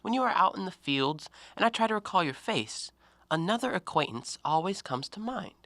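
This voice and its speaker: A man acting an animated, needling intellectual. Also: high-pitched, normal speed, no room sound, exaggerated voice. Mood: reflective storytelling. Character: probing, self-assured male intellectual, talkative and needling. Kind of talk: stage-style audio play monologue.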